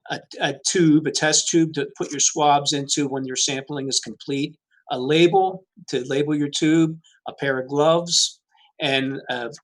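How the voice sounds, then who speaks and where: A man's voice: medium pitch, fairly bright tone, low-quality recording. A man who manages customer service, describing his team's services over a video call with many fillers. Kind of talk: webinar presentation.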